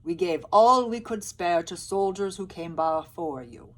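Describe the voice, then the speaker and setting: A woman voicing fearful, stingy peasants in a storybook read-aloud, exclaiming loudly. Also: lowish voice, a little slow, close to an everyday voice. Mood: refusing. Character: fearful, stingy peasants refusing soldiers food.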